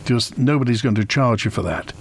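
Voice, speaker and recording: A man's voice: mid-range voice, animated, fairly quick, a little hiss or hum. A man hosting a radio phone-in from a studio, musing aloud and reading out listener messages. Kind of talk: radio talk show hosting.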